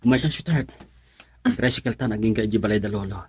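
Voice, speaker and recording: Husky young man, earnest radio-drama sweetheart. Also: lowish voice, fast. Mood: reassuring. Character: young son eloping with his sweetheart, earnest, reassuring. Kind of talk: Ilocano radio drama acting.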